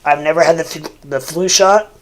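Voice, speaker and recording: A man rambling through a casual livestream, stuffy and congested, halting with lots of fillers and restarts. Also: fairly high pitch, a bit bright, slight background noise. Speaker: crypto livestreamer. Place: home room, seated at a desk.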